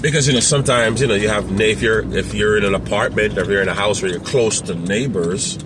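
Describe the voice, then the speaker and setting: A man talking casually to camera as a parent coach, rambling with many fillers and restarts, with a strong, loud voice. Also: fairly low pitch, quick pace, background noise.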